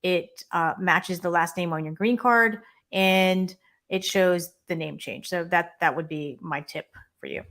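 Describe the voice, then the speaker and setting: A woman co-hosting a live immigration Q&A stream, chatting and giving quick practical tips. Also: lowish voice, fairly bright tone. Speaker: immigration lawyer co-host.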